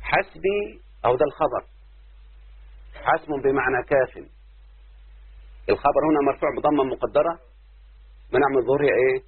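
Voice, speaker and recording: A man with an Egyptian accent, a sheikh teaching Arabic grammar, explaining slowly and asking his listeners questions as he goes. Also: medium pitch, slightly rough, no echo. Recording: tinny phone-like line, dry.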